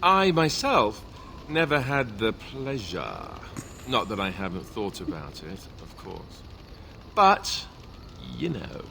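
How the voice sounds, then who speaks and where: Young male warrior, fantasy game companion, wry, teasing, gallant, very animated intonation, clear background noise. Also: fairly low pitch, slow pace, full character voice. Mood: bashful candour. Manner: playful, mock-innocent, wry. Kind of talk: fantasy video game voice acting.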